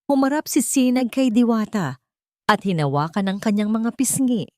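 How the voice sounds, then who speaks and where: A woman's voice: a little high, lively intonation, moderate pace, a little mellow, dry recording, clean studio sound. A woman narrating a scripted romantic story in a dramatic, sentimental way.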